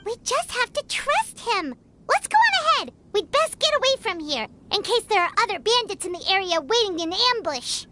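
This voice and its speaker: A woman voicing a tiny, chatty fairy girl companion in a video game, with a squeaky, childlike voice. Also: big pitch swings, moderate pace, pushed and bright, slight background noise, full character voice. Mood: reassuring. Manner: speaks of herself in third person. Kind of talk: animated video game voice acting.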